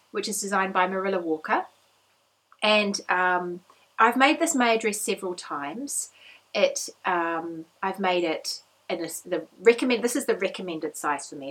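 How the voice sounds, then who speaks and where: A woman in her thirties hosts her podcast in a relaxed, chatty way. Her voice is a little croaky, and she talks cheerfully, with plenty of fillers and small restarts. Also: medium pitch, rough recording. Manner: chatty, upbeat, rambling with fillers. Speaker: podcast host. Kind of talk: casual chat.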